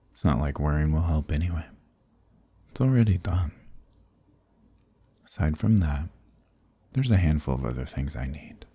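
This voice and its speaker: A man voicing an ancient archdemon who is smug, amused and condescending, in a breathy, murmured dark fantasy ASMR roleplay with teasing, leisurely politeness. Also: rumbling low, no echo, exaggerated voice. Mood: smug, calm.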